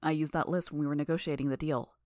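A woman's voice: deep voice, fairly even intonation, fast talker, a little mellow, dry recording, studio quality, close to an everyday voice. A woman reading an audiobook, voicing an armored adult man who speaks in a clipped, matter-of-fact way.

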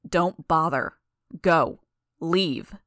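A woman's voice: lowish voice, normal speed, dry recording, clean studio sound, natural voice. A woman voicing a hostile, distrustful young woman in an audiobook, curt, combative and given to swearing. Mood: threatening. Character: hostile, foul-mouthed young woman, aggressive and distrustful loner. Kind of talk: dramatised audiobook dialogue.

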